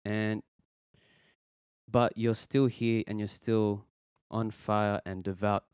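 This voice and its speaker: A man, hosting a casual faith podcast in an earnest, halting way with many restarts. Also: on the low side, little pitch movement, a little mellow, clean tone, no echo. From Philippines, raised in Australia. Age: 20s. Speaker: podcast host. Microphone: podcast microphone.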